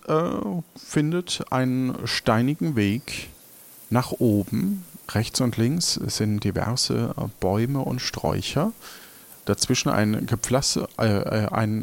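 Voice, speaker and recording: A man casually improvising an audio adventure, halting and searching for words as he goes. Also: mid-range voice. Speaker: game master and player.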